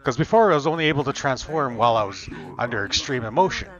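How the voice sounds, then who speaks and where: A man's voice: mid-range voice, animated, fast talker. A man doing improvised in-character roleplay, often stammering and repeating his words. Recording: muffled stream audio with faint music.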